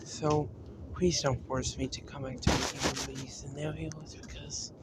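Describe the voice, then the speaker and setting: Halting, flat-toned man on a noisy recording. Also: mid-range voice. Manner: halting, repeats and restarts phrases. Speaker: video creator announcing a decision to fans. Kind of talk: unscripted vlog-style talk.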